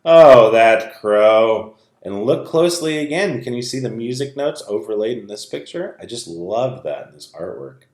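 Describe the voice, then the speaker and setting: A man reading a rhyming children's story aloud in a dramatic, expressive way. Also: mid-range voice, moderate pace, hardly any pauses. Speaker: storybook reader. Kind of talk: animated children's read-aloud, rhyming narration. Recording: roomy, echoing sound.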